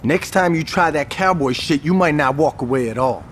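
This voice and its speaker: A man's voice: on the high side, moderate pace, fairly bright tone, slight background noise, close to an everyday voice. Man as a level-headed, exasperated gang strategist. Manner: sensible, reproving. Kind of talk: video game cutscene acting.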